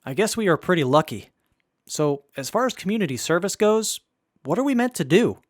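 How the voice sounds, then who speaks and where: An earnest young tinkerer. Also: male voice, high-pitched, big pitch swings, brisk, no echo, studio quality. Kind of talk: radio drama acting.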